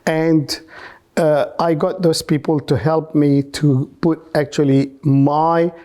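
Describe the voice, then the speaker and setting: A man from Egypt telling his story as an interview guest in non-native English, haltingly, repeating words for emphasis. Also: on the high side, steady tone, warm tone. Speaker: podcast guest, researcher and businessman.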